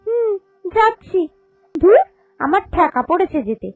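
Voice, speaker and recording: A woman's voice: extremely high pitch, animated, measured pace, soft background music, exaggerated voice, low-quality recording. A woman playing a lazy, indignant daughter-in-law in a cartoon, grumbling and full of excuses. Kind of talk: animated cartoon dialogue.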